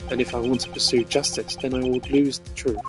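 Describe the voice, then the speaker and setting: A man voicing a stern, principled prosecutor with a formal, measured delivery in a video game scene. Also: medium pitch, flat delivery, over music, natural voice. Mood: conflicted.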